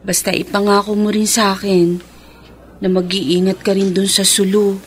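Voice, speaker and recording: A woman's voice: mid-range voice, flat delivery, normal speed, slight background noise, natural voice. An actress playing an affectionate, devout young woman who works overseas, earnest and emotive in a radio drama.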